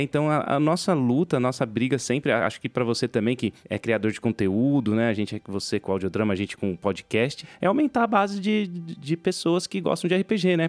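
Um homem com sotaque brasileiro apresentando um podcast em conversa informal. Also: male voice, medium pitch, dry recording. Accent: Brazilian. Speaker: podcast host. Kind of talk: casual podcast chat.

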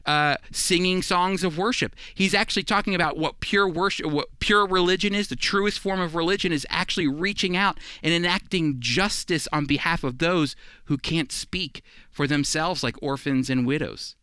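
A man's voice: fairly high pitch, normal speed, sharp tone, clean tone, dry recording. A man teaching from scripture in an emphatic sermon style, addressing his listeners directly. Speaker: Bible teacher, radio show host.

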